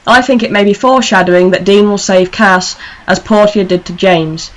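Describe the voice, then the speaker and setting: A woman's voice: mid-range voice, normal speed, poor audio. A woman hosting a fan radio show, talking casually in a panel discussion.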